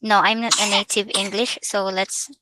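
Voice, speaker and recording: A woman's voice: medium pitch, little pitch movement, normal speed, pushed and bright, poor audio. A woman from the Philippines with a Filipino accent, speaking English as a second language after Tagalog, walking viewers through a sign-up in a hesitant, casual way and slipping into Tagalog now and then. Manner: hesitant, mixing English with Tagalog, frequent fillers. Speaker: vlogger. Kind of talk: casual screen-share tutorial.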